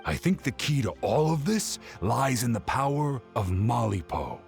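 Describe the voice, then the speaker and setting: An excitable young male scholar from a video game. His mid-pitched voice swings up and down with a crackly edge as he earnestly presses his point. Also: a little slow, gravelly, soft background music. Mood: insistent. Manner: earnest, insistent, explaining.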